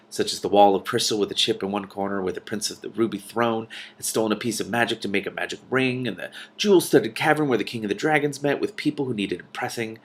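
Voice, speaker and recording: Low-voiced man reading a story aloud. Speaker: reader and commentator. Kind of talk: storybook read-aloud with casual commentary.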